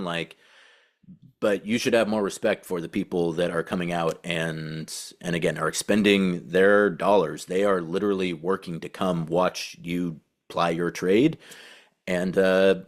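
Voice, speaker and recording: A man hosting a sports podcast with casual commentary, talking in a conversational, halting way and circling back to rephrase. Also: low voice. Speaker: podcast host and team beat reporter.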